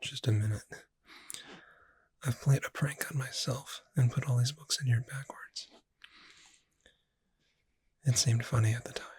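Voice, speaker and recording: A performer voicing a friendly witch bookseller in a soft-spoken ASMR roleplay, gentle, unhurried and lightly wry in a breathy near-whisper. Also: medium pitch, steady tone. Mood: helpful, relaxed.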